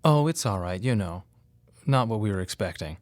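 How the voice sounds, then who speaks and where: An actor playing a grieving father, speaking in a measured, wry radio monologue with pointed pauses. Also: lowish voice, animated, lightly acted. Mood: reflective. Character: grieving bereaved father, wry, tense and plain-spoken. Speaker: narrator and lead of a monodrama. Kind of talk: dramatic first-person radio monologue.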